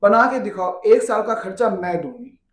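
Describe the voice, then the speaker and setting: A woman bodybuilder with a naturally deep, heavy voice, speaking bluntly and defiantly as an interview guest. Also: lively intonation, normal speed. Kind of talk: candid interview talk.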